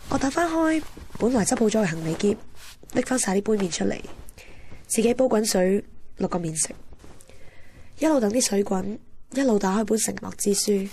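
A woman's voice: mid-range voice, lively intonation, normal speed, pauses often, mellow, slight background noise, close to an everyday voice. A woman with a Hong Kong Cantonese accent playing a wistful, candid young heroine who narrates her romance in a radio drama. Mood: melancholy. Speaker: narrator and lead character. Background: music.

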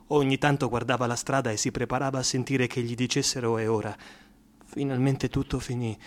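A man's voice: mid-range voice, lightly acted. A man acting in an Italian radio drama as a loyal, distressed young friend who recounts a tragedy with earnest emotion.

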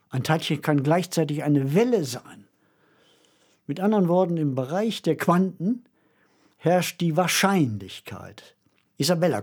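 Male co-host with a rough-edged, breathy mid-range voice, musing and emphatic while presenting conversationally. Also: a little high, a little mellow. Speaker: podcast co-host. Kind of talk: conversational presenting of radio plays.

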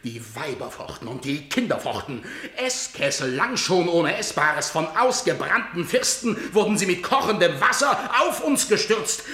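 An actor playing a messenger who reports a lost battle, in an urgent, grave declamation of classical verse. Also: male voice, on the high side, very animated, moderate pace, hardly any pauses, sharp tone, exaggerated voice. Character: male messenger bringing news of defeat. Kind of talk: classical verse radio drama. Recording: roomy studio echo.

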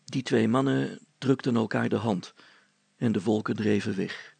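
A man narrating a children's story as an expressive storyteller. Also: on the low side, a little slow, vocal fry, breathy edge, dry recording.

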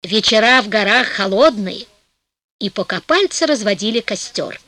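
A woman narrating a fairy tale in a lively storytelling manner for an audiobook, slowing down for rhymed passages. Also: mid-range voice, no echo.

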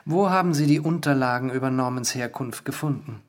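Guy playing male journalist in a radio play, probing, controlled, normal pitch. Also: a little fast, close to an everyday voice. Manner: controlled, pointed questioning.

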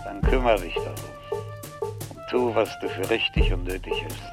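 A stern older police chief in a radio crime play, with clear music behind him. His low, creaky voice gives clipped, commanding remarks with authority. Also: normal speed. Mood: brisk, matter-of-fact. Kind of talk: radio crime drama acting.

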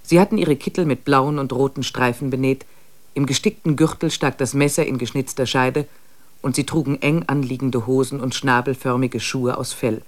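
A man narrating a travel diary in a German radio play, calm and measured. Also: mid-range voice, lightly acted.

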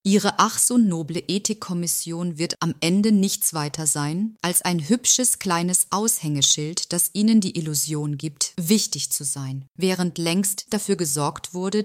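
A scheming office insider acted by a woman, speaking in a low female voice with a very dry, close sound. She is smug and sly, confiding her intrigues with feigned innocence as in a radio play monologue. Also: a little slow, hardly any pauses, no echo, natural voice. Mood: scornful, smug. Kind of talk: audio drama monologue, confessional narration.

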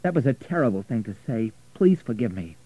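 A man voicing an impulsive, hurt young man in love in an audiobook story, with a forceful, indignant manner. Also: medium pitch, big pitch swings, fairly quick, slightly rough, low-quality recording. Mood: remorseful, tender.

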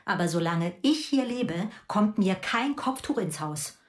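A woman's voice: mid-range voice, animated, unhurried, reverberant, lightly acted. An actress playing a stern, controlling mother of grown twins in a radio drama, clipped and cold.

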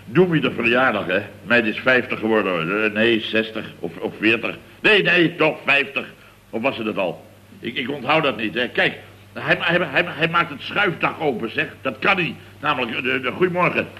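A man's voice: medium pitch, animated, normal speed, pushed and bright. A man playing a blustering, muddled contractor boss in 1970s radio comedy, flustered, stammering and repeating himself. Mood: flustered, alarmed. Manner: flustered, repetitive, stammering restarts.

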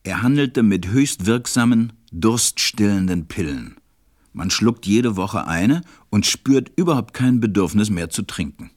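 A man playing a grave, weary stranded pilot who narrates a German radio play in a measured, husky, deep voice. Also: lively intonation, a little mellow. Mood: grave, reflective.

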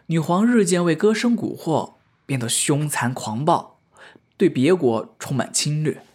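A man's voice: on the high side, animated, measured pace, darkish tone, breathy edge. An actor playing an eager, playful young male film star known for bad acting, in an audio drama. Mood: eager, explaining. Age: young adult.

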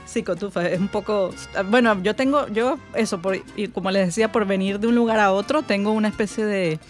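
A woman's voice: medium pitch, a little fast, faint music behind. A woman from Venezuela musing in casual conversation, with restarts.

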